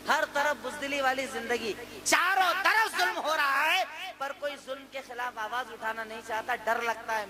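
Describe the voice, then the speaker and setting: A man preaching an impassioned sermon, building to exhortation with repeated rhetorical questions and an Indian Urdu accent. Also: extremely high pitch. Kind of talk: Islamic sermon in Urdu-Hindi.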